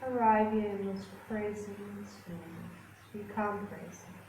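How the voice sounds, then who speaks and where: A woman yoga instructor leading a guided relaxation class in a soothing, unhurried manner with gentle pauses. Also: mid-range voice, steady tone, slight background noise. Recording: roomy echo with faint music behind.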